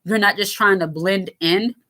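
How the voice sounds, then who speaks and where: A woman in her thirties with a raspy, lower voice, hosting a casual commentary that answers viewer comments. She talks in a frank, lighthearted way, with lots of fillers and restarts. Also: moderate pace, sharp tone. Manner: fillers and self-interruptions. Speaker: host.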